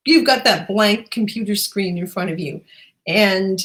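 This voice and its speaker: A woman's voice: medium pitch, a little slow, a bit bright. A woman interviewing in a casual, conversational way, encouraging and affirming.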